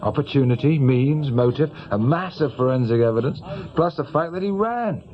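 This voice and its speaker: An actor playing a brisk, self-assured senior British police officer in middle age, matter-of-fact and rather patronising. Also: male voice, medium pitch, lively intonation, muffled recording, natural voice. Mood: patronising, confident. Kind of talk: naturalistic radio drama acting.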